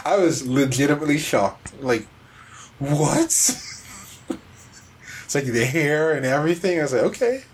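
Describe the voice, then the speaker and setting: A man's voice: fairly high pitch, animated, unhurried, pauses often, a little hiss or hum. A man co-hosting a podcast, talking casually about video games in a conversational way. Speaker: podcast co-host. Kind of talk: casual video game discussion.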